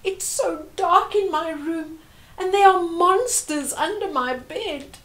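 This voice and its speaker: A woman's voice: very high-pitched, slow pace, fairly bright tone, voice pushed up, full character voice. A woman voicing a scared little girl who wails urgently for her mother in a read-aloud storybook. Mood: afraid. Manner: wailing, urgent cries.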